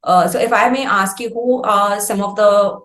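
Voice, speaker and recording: A woman with an Indian accent hosting a conversational interview, speaking in deliberate, wordy, rather formal phrases. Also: medium pitch, steady tone, fairly bright tone. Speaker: interviewer, host. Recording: roomy echo on voice.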